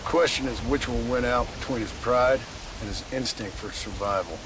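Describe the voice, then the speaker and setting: A man's voice: medium pitch, normal speed, natural voice. Man as a terse, tough gunslinger, noisy outdoors. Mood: grim. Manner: terse, dry. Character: tough, blunt Old West gunslinger, dryly wry. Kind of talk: video game character acting. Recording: game audio with loud outdoor ambience.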